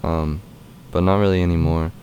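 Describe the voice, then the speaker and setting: A young man rapper answering interview questions in a raspy, deep voice, halting with many fillers. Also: fairly even intonation, a little mellow, muffled recording, a little hiss or hum. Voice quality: raspy and deep right now.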